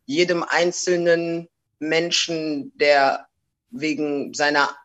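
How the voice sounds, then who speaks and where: A woman's voice: low voice, slow. Laid-back woman rapper, slangy talk. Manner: relaxed, slangy, mixes in English phrases. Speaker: rapper, interview guest. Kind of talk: casual interview chat.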